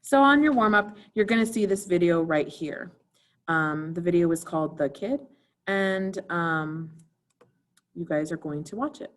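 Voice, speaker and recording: A woman's voice: lowish voice, measured pace. A woman theater teacher giving an informal recorded video lesson, instructing students directly and putting questions to them.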